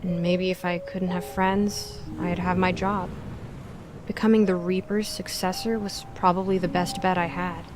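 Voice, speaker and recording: A woman's voice: fairly low pitch, flat delivery, moderate pace, background noise, close to an everyday voice. A woman playing a guarded, lonely young goddess, blunt and sardonic, in an audio drama. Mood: bitter, vulnerable. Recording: music behind the voice.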